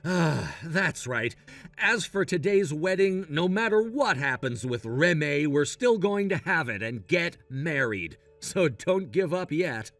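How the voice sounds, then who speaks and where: A man's voice: on the high side, expressive, takes it slowly, a bit bright, no echo, soft background music, full character voice. A man playing a supportive, take-charge young groom in a scripted story drama, speaking earnestly and reassuringly. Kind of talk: scripted melodrama story dub.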